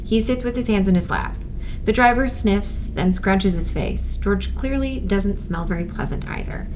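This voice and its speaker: A woman speaks at a medium pitch as the host and narrator of a script table read. There is some noise in the background behind her voice. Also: normal speed. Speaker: host and stage-direction reader.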